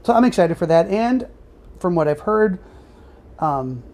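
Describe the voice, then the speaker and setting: A woman's voice: on the low side, lively intonation, a little slow, darkish tone. A woman co-hosting a podcast in a casual, chatty style. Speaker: podcast co-host. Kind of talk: casual chat about superhero movies.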